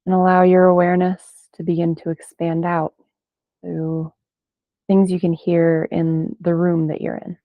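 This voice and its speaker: A thin, phone-like recording of a woman guiding a meditation. Her voice is slightly low and stays very even. She speaks gently in unhurried phrases, leaving long pauses. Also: no echo. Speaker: meditation guide. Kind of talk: guided meditation. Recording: band-limited, phone-like sound, dry.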